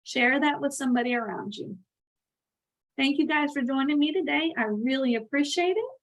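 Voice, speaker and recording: A woman with a high voice hosts a read-aloud for children. She tells the story expressively and in an animated way, turning to address her young listeners directly. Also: normal speed, highs cut off. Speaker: read-aloud channel host.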